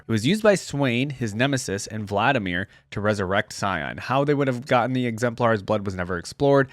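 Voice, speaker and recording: Male reaction host, mid-pitched, casual, thinks out loud, very dry recording. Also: dry recording. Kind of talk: casual commentary, reading and reacting.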